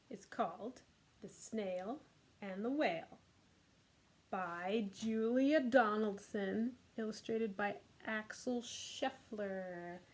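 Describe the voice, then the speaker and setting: A woman presenting a children's storytime, reading a picture book aloud in an expressive, deliberate manner. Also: mid-range voice.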